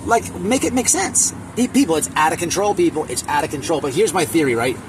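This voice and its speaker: Man, high-pitched, opinionated, halting and repetitive, crude slang, casual reaction vlog, noisy background. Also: lively intonation. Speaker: online commentator.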